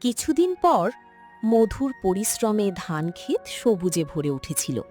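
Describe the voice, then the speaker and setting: Animated woman narrating a dramatic story. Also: medium pitch, a little slow, soft background music.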